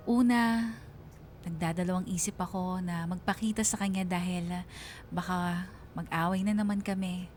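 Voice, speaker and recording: A woman's voice: mid-range voice, darkish tone, natural voice. A woman playing a heartbroken young woman, narrating her love story earnestly in a radio drama. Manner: earnest, sentimental.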